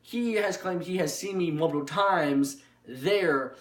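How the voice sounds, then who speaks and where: A young man telling a personal story casually and off the cuff, rambling and haltingly, often repeating his words. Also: fairly high pitch. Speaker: online video storyteller. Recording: echoey room, distant microphone.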